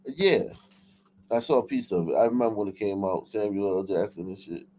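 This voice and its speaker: A man's voice: on the low side, expressive, a little fast, a little mellow. A man hosting a livestream in casual, profane chat, often repeating and restarting his phrases. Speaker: livestream host. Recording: clear background noise.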